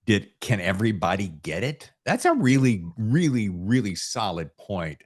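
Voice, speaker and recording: Male podcast host: lowish voice, highly animated, emphatic, conversational, voices imagined reactions. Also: normal speed. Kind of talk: casual chat.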